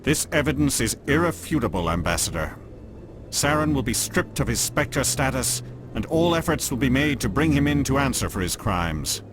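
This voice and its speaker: An actor playing a stern, formal alien councillor in a video game, speaking with dismissive authority. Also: male voice, medium pitch, big pitch swings, normal speed, background noise, close to an everyday voice. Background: music.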